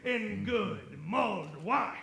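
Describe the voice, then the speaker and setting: A man playing a cheerful young gentleman in a live radio play, declaiming heartily. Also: high-pitched, animated, takes it slowly, vocal fry, exaggerated voice. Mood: merry. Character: jovial party host toasting, cheerful young gentleman. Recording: roomy live stage.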